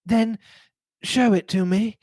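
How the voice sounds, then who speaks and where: A man's voice: sits high, fairly even intonation, slow pace, darkish tone, no room sound, clean studio sound, pitched above the performer's own voice. A man voicing a tense married woman who is strained, insistent and desperately controlling her bitterness in a story. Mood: controlled desperation.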